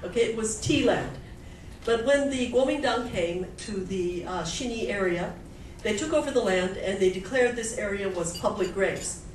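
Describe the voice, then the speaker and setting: A woman's voice: medium pitch, normal speed. A woman giving an informal, explanatory public lecture, often checking in with her listeners. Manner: explanatory, checks in with frequent "okay?" and "right?". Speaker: guest lecturer, anthropologist. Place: indoor meeting room with audience. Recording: echoey room, distant mic, background noise.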